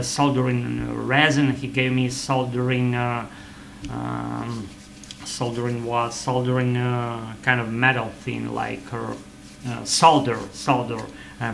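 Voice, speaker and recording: A man whose first language is Russian, rambling in English in a vlog from his apartment, halting with many fillers and self-corrections. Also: mid-range voice, gravelly, a bit bright, a little hiss or hum. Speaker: vlogger. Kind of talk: rambling English practice monologue.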